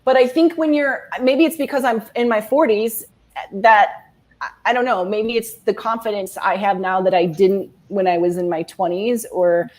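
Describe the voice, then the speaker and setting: A woman's voice: mid-range voice, fairly even intonation, normal speed. Rambling woman in her forties. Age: 40s. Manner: rambling, many restarts and fillers. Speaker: guest author. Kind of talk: casual video-call chat.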